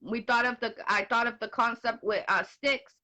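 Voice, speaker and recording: A woman's voice: fairly high pitch, fairly even intonation, bright, forceful tone, highs cut off. A woman in her forties hosting a casual interview show, chatty and upbeat. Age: 40s. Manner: chatty, upbeat, quick asides. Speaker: show host.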